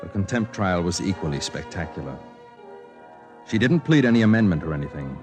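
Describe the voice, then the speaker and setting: A man's voice: low voice, expressive, brisk. An actor playing a newspaper reporter who narrates his story in a 1950s radio drama. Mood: matter-of-fact. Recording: 1950s radio broadcast with music.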